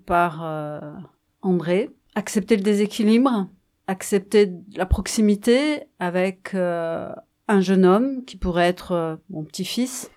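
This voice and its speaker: Woman in her sixties, amateur performer, slow unhurried speech, soft warm tone. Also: mid-range voice. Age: 60s. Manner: slow, calm, measured. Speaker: non-professional performer, grandmother. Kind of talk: interview self-introduction.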